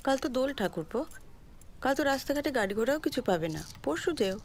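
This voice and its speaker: An actress playing a teasing, bossy sister-in-law in a naturalistic household drama, with a familiar, prodding manner. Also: female voice, high voice, big pitch swings, quick pace, a little hiss or hum. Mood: brisk, caring. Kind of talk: naturalistic film drama dialogue.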